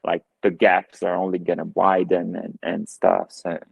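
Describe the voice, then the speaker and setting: Deep-voiced Brazilian man on a video call. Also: monotone, normal speed, creaky, husky, no room sound. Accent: Brazilian Portuguese. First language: Portuguese. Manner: thoughtful, many fillers and restarts. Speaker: photographer and guest. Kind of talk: casual video call interview. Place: living room at home. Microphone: earbuds on a video call.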